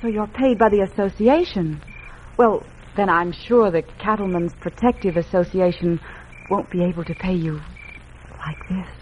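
A woman's voice: fairly low pitch, moderate pace, close to an everyday voice. Woman as kind ranch heroine, muffled old radio. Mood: flirtatious. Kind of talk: 1950s Western radio acting. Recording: muffled old radio recording, faint noise.

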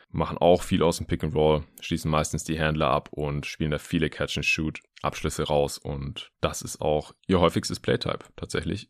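A man's voice: deep voice, dry recording, clean studio sound. A man hosting a sports podcast, explaining basketball analysis in an informal, conversational monologue with casual asides.

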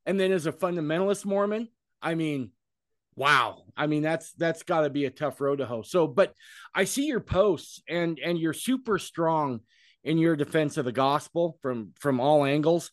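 A man's voice: on the high side, animated, moderate pace, dry recording, studio quality. A man hosting a podcast, chatting casually and rambling, repeating words as he gathers his thoughts. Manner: rambling, self-deprecating, repeats words while thinking. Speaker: podcast host. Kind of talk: casual interview chat.

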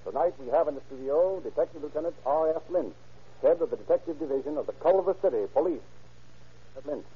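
Male announcer-narrator for a vintage crime drama, measured and dramatic, with music behind him. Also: on the high side. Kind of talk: 1930s radio drama narration. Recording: tinny 1930s radio recording.

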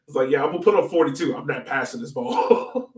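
A man's voice: a little high, animated, brisk, a little mellow. A man co-hosting a casual soccer fan podcast, talking informally and breaking down a match. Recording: echoey room, far from mic.

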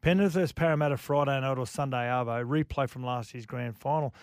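A man's voice: mid-range voice, fast talker, clear, resonant tone. An Australian man co-hosting a casual sports show, reading out viewer comments and chatting easily.

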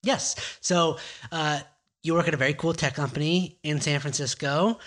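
A man's voice: a little high, steady tone, normal speed, a bit bright. A man hosting a podcast, introducing the show with upbeat energy and asking long, rambling questions. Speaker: podcast host.

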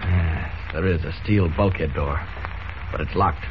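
Earnest hero reporter, brisk, 1940s radio serial, muffled and noisy. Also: male voice, deep voice. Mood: intent. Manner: brisk, earnest, thinking aloud. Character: secret superhero posing as mild-mannered male reporter.

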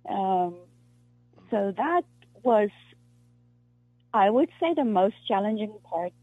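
A woman from South Africa, an actress being interviewed, talking in a halting way with many restarts and fillers. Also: medium pitch, lively intonation, dry recording, soft background music. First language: Afrikaans. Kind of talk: casual interview conversation. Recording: muffled lo-fi dry recording.

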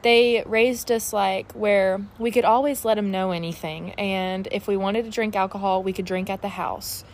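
A woman's voice: medium pitch, steady tone, moderate pace, hardly any pauses. A woman of twenty-one, chatting candidly on her solo podcast, full of fillers. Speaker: podcast host. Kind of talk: casual solo podcast chat. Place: outdoors in her backyard.

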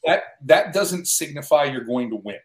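A man's voice: a little high, lively intonation, normal speed. A middle-aged man in casual conversation as a guest sports broadcaster, telling stories with wry, self-deprecating humour. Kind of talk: casual chat, storytelling.

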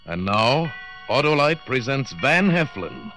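Slow, dramatic radio announcer over music. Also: male voice, medium pitch, very animated, bright, forceful tone. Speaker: program announcer. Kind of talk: 1940s radio drama introduction. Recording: vintage 1940s radio broadcast.

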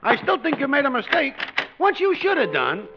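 An actor playing a nagging, scornful working-class buddy who scolds and needles in a 1940s radio sitcom. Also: male voice, extremely high pitch, wildly swooping pitch, moderate pace, exaggerated voice. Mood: scornful, goading. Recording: muffled old radio broadcast, music bleed.

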